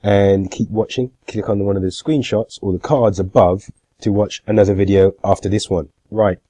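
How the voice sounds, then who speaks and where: A man's voice: fairly low pitch, steady tone, normal speed, dark tone, no echo. A middle-aged man with a cold, giving a screen-share tutorial in a plain, matter-of-fact way with frequent "uh" fillers. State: has a cold, congested. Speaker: marketing YouTuber.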